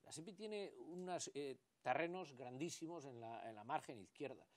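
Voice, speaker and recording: A man speaking as a political candidate in a televised debate, halting and repeating words as he makes his point. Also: medium pitch, animated.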